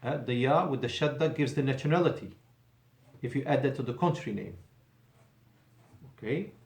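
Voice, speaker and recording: A man's voice: mid-range voice, moderate pace, a little mellow. Man teaching Arabic in an echoey room. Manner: explains patiently, repeats phrases, mixes English with Arabic examples. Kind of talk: classroom Arabic lesson. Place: mosque classroom.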